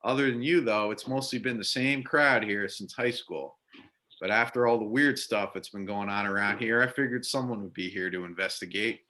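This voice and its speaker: A man's voice: on the low side, normal speed, pushed and bright. A man playing a burly, affable biker bartender and war veteran, folksy and blunt, in an amateur readers theater. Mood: hearty, friendly.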